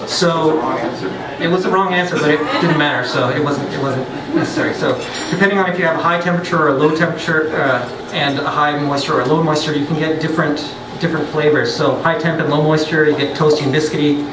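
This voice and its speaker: A fairly high-voiced man gives a loose, joking lecture in a pub. He sits back from the microphone and the room rings around him. Loud bar noise runs steadily underneath. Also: steady tone, quick pace, recorded from a distance. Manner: loose and chatty, joking asides, frequent restarts. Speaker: brewer giving a science talk. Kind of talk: casual lecture to a pub audience. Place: pub with an audience. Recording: roomy, off-mic, loud background.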